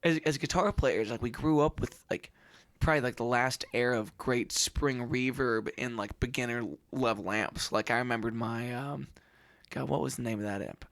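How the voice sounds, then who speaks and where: A man's voice: mid-range voice, moderate pace, creaky, fairly bright tone, no room sound. Laid-back man musician hosting a chat. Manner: loose, rambling, profane, joking. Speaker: podcast host and musician. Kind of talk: casual chat about music gear.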